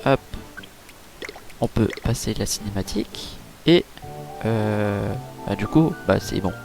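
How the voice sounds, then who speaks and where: A man giving casual, chatty let's-play commentary over a game, full of fillers and quick reactions. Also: on the low side, vocal fry. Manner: chatty, filler-heavy, easily impressed. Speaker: gaming YouTuber. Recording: game music playing behind.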